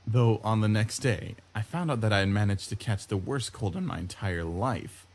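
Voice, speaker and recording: A man's voice: on the low side, moderate pace. A man reading first-person visual novel narration aloud in a wry, reflective way. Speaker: let's play host reading aloud. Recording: guitar music behind the voice. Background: plucked guitar music.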